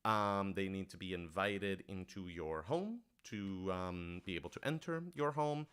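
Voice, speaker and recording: Clear-voiced man in casual livestream chat. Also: low-pitched, animated, measured pace, a bit bright. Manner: informal, thinking aloud with self-corrections. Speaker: podcast writer-director hosting a livestream Q&A.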